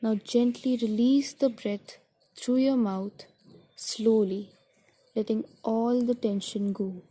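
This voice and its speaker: A woman guiding a meditation in a soothing, gentle, deliberate way, with a soft, breathy voice that trails toward a whisper. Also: on the high side, fairly even intonation. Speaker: meditation guide. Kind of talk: guided meditation narration.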